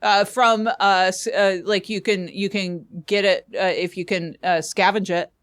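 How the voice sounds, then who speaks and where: A woman co-hosting an improvised comedy advice show, talking haltingly, repeating and correcting herself in casual banter. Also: mid-range voice, fairly even intonation, fairly bright tone. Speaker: comedy podcast host.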